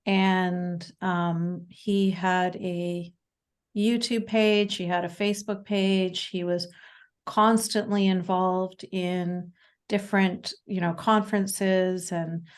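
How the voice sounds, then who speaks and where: A Canadian woman in her forties, a guest speaking in a casual interview, talking haltingly with many fillers and restarts. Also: on the low side, level tone, clear, resonant tone. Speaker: podcast guest.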